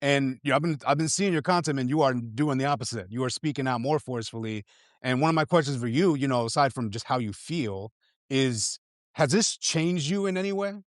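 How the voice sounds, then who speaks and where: A man appearing as a journalist guest, speaking in an animated, emphatic way in casual conversation. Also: medium pitch, fairly quick, dry recording, clean studio sound.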